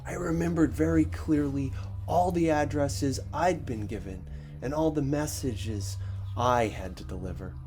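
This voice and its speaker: A man reading a first-person story expressively, with a measured, dramatic delivery. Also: mid-range voice, darkish tone, soft background music. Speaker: audiobook narrator.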